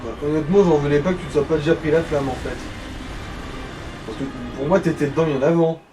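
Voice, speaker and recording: A man's voice: on the high side, steady tone, brisk, over music, low-quality recording. A man chatting casually as he watches and comments on someone else's video game play. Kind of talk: casual gaming commentary chat. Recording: loud background noise.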